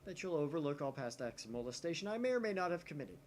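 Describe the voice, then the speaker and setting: A man's voice: on the high side, big pitch swings, quick pace, a little hiss or hum, pitched above the performer's own voice. Man putting on a pompous, theatrical young mad scientist. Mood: grandiose. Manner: pompous, dramatic declarations. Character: theatrical, bossy young male mad scientist, arrogant and grandiose. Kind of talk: visual novel character reading.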